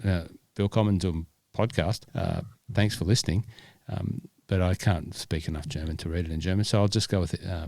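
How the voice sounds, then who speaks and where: A man with a low voice co-hosts a casual podcast, rambling and poking fun at himself. The sound is dry and close, with no room around it. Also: darkish tone, dry recording. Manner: self-deprecating, rambling banter. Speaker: podcast co-host.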